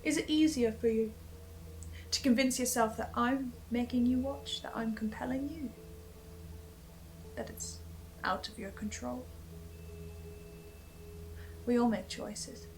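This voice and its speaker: A woman playing a tormented, hungry archivist in a halting, theatrical horror monologue addressed straight to the listener. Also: fairly high pitch, a little mellow, slight background noise, rough recording. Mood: brooding, confessional. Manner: theatrical, halting, swinging from whispers to outbursts. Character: tormented, obsessive, hungry archivist confessing to the audience. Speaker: host of a horror listen-along series. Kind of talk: dramatic in-character monologue to the listener.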